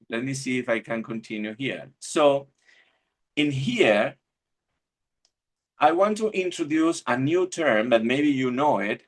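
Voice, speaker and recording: A man's voice: mid-range voice, a bit bright. Man giving a webinar talk. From Argentina. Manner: reflective, searching for words, restarts phrases. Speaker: webinar speaker. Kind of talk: presenting a church webinar talk. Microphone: video call.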